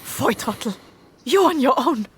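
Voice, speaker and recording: A very high, animated voice plays a tough young survivor in an audio drama. She snaps out commands in slow, deliberate bursts, hot-tempered and urgent. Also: breathy edge, full character voice. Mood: furious, threatening. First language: German. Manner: sharp, commanding, hissing urgency.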